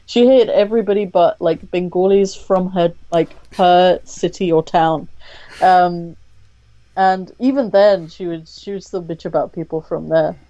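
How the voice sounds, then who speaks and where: A woman guest on a podcast interview, speaking candidly in a thoughtful, measured way with frequent pauses to think. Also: fairly low pitch, warm tone, dry recording, highs cut off.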